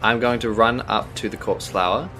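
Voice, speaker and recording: A man's voice: fairly low pitch, fairly even intonation, normal speed, pushed and bright, soft background music. A man with a New Zealand accent playing a tabletop game, thinking aloud, trailing off and restarting, often laughing. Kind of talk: casual in-character play.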